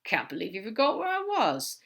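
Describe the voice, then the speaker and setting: A woman's voice: on the high side, wildly swooping pitch, normal speed, vocal fry, voice pushed up, heavily acted. A woman voicing a sly, fond male demon in a fan audiobook reading, with a casual, easygoing manner. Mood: teasing.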